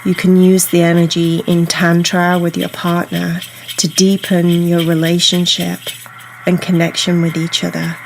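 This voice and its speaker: A woman guiding a meditation in a soothing, unhurried, instructional way, leaving pauses between phrases. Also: on the low side, completely flat delivery, creaky, slight background noise, rough recording. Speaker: meditation guide. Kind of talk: guided meditation narration. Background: soft ambient meditation music.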